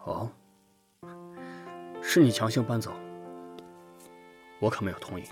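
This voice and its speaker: A man's voice: fairly low pitch, measured pace, over music, lightly acted. An actor playing a possessive, cool male company boss in an audio drama, terse and pressing. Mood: wounded, pressing. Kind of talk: Chinese audio drama acting.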